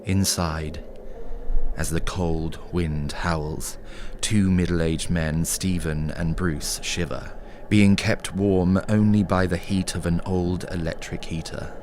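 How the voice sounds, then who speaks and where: A man narrating a scripted horror story in a measured, atmospheric way. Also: deep voice, steady tone, a little mellow, slight background noise.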